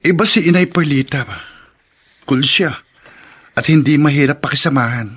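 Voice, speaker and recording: An actor playing a polite, playful young husband who is easily flustered, in a radio drama, with a Filipino accent. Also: male voice, a little high, moderate pace, fairly bright tone, breathy edge. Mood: polite, bashful.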